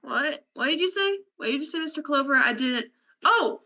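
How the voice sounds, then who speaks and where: High, bright, prim building manager played by a woman. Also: expressive, moderate pace, full character voice. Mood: alarmed. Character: polite, fussy female apartment building manager. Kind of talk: 1950s radio drama acting.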